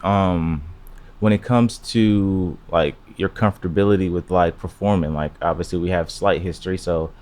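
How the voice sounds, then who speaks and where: A man's voice: low-pitched, fairly even intonation, normal speed, darkish tone. A man hosting a podcast, asking long rambling questions full of "like" in a casual way. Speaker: podcast host.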